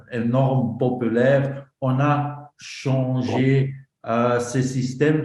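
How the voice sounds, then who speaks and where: Flemish man presenting his group at a conference; slow, halting delivery in a roomy hall. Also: medium pitch, mellow. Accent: Flemish Dutch. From northern Belgium. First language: Dutch. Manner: halting, many fillers and restarts. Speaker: association representative. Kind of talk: conference talk.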